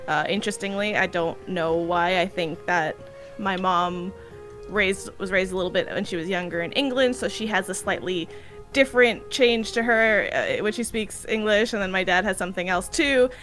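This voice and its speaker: A woman with a New Jersey accent hosting a podcast, chatty and quick. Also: medium pitch, a bit bright, soft background music, poor audio. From New Jersey, United States. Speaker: podcast host. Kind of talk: casual podcast discussion.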